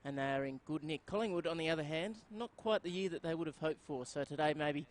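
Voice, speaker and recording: An Australian man co-commentating a football broadcast, bantering with the host and giving measured previews. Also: on the high side.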